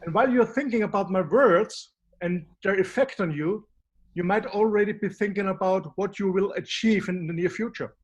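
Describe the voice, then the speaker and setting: Austrian-accented man, calm coaching voice. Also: high-pitched, moderate pace, slightly rough, muffled recording. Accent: Austrian German. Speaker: business coach. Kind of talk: webinar presentation.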